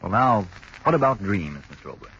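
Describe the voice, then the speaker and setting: A man's voice: on the low side, sing-song, a little fast, pushed and bright, breathy edge. A man announcing on a 1940s radio program, in a polished, persuasive manner. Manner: polished, persuasive sales delivery. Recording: muffled 1940s radio broadcast, faint hiss.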